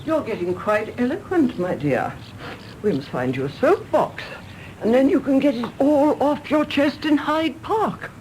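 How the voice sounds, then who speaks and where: A woman's voice: sits high, very animated, unhurried, a little mellow. Snobby old english lady, radio drama, peevish scolding, voice goes up and down, slightly noisy recording. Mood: mocking. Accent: British. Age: older. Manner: haughty, scolding, mock-affectionate. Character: imperious, querulous old English matriarch. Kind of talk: British radio drama acting.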